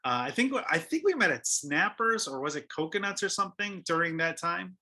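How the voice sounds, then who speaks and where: Man, podcast host, chatty, probing questions, slightly high pitch, bright. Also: animated, fairly quick. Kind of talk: casual podcast interview.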